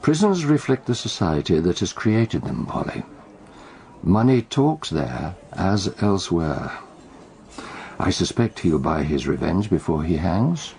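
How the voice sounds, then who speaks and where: A shrewd armchair detective in a radio play. His voice is very deep and gravelly, yet lively in inflection. He explains crimes in a dry, knowing, measured way. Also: exaggerated voice. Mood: wry, grim. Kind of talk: radio drama acting.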